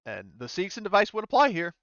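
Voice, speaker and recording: A man with a clean, smooth voice runs a tabletop game. He explains things casually and haltingly, often trailing into asides. The recording is dry and a little dull. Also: high-pitched, wildly swooping pitch, fairly bright tone, dry recording. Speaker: game master. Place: indoors on an online voice call.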